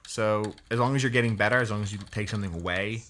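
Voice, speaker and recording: A man co-hosting a casual gameplay show, relaxed and joking, asking his partner questions. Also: lowish voice, fast, slight background noise. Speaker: co-host.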